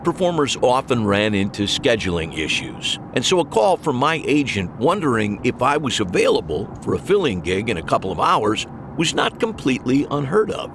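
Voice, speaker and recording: A man's voice: mid-range voice, very animated, moderate pace, hardly any pauses, noisy background. A man reading scripted first-person mystery narration with wry, dry humor.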